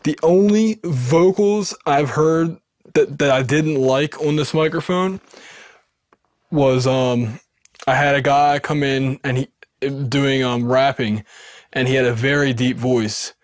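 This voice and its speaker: A man's voice: mid-range voice, fairly even intonation, unhurried, no room sound, studio quality. A man in his thirties with a sibilant voice, casually showing his gear to the camera in a small wood-paneled room, often restarting his sentences. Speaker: home recording video host. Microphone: studio dynamic mic off camera.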